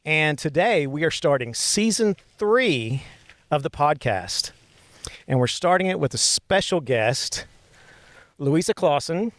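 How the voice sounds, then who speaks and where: A man's voice: on the high side, lively intonation, takes it slowly, a bit bright, no room sound. A man producing and co-hosting a college podcast, introducing a guest and prompting her with encouraging affirmations. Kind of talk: friendly interview.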